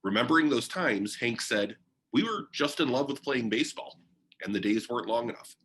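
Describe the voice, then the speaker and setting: Expressive male storyteller with lively pitch. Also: slight background noise, low-quality recording. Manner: deliberate storytelling with short phrases and pauses. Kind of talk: read-aloud of a children's biography.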